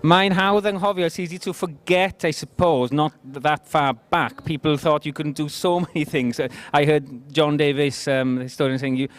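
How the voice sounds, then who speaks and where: A man's voice: a little high, fairly even intonation, normal speed, few pauses. A man hosting a bilingual street conversation outdoors, laughing often and switching between two languages. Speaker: host.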